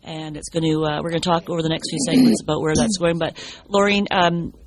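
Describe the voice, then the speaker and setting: A woman's voice: lowish voice, fairly even intonation, fast. A woman hosting a radio lifestyle show, talking in a loose, friendly way with many fillers. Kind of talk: casual interview chat.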